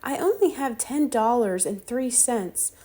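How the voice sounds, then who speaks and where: A woman's voice: on the high side, expressive, unhurried, dark tone, voice pushed up. A woman voicing an earnest, eager schoolboy character in a children's storybook. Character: earnest young boy aardvark, eager schoolkid.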